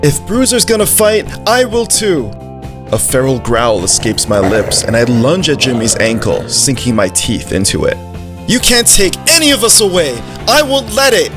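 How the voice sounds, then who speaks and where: Man voicing a gentle, brave little dog, music behind. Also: on the high side, very animated, moderate pace. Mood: defiant, then excited, tense. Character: gentle, brave, loyal little dog narrating his story. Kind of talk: first-person scripted story narration.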